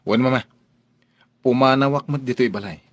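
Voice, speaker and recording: An actor with a Filipino accent playing an earnest, stubborn young son in a radio drama, speaking firmly. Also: male voice, mid-range voice, normal speed, no room sound, close to an everyday voice. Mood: defiant.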